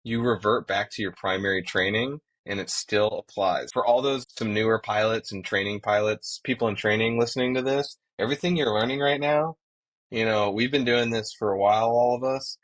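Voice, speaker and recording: A man's voice: lowish voice, brisk, fairly bright tone. A man co-hosting a podcast as a working pilot, talking casually in an earnest, explanatory way.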